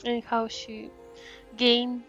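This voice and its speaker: A woman doing casual live game commentary as a VTuber, murmuring to herself and exclaiming between pauses. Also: fairly high pitch, completely flat delivery, fairly bright tone, highs cut off. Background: game music.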